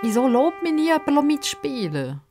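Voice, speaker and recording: Children's story: little girl, played by a woman, plaintive and pleading, lifted pitch. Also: sing-song, normal speed, music in the background. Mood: hurt, tearful. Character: small girl, pleading, hurt, left out by boys.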